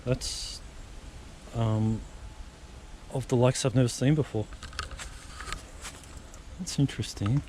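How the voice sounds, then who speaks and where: Male travel vlogger, chatty and thinking aloud, mid-range voice, unhurried delivery, recorded outdoors with noticeable noise behind. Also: medium pitch, fairly even intonation, warm tone. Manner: chatty, muses aloud while exploring. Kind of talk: casual walking commentary. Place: outdoors in rural countryside. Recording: outdoor camera audio with noise. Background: wind and outdoor ambience.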